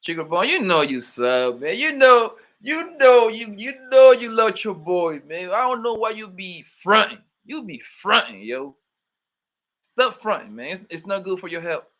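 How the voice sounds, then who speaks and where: A man's voice: high-pitched, big pitch swings, measured pace, a bit bright. A young man with a New York accent hosting a casual livestream, joking loosely and calling out chat viewers by name. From born in Colombia, raised in Brooklyn, New York. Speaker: livestream host.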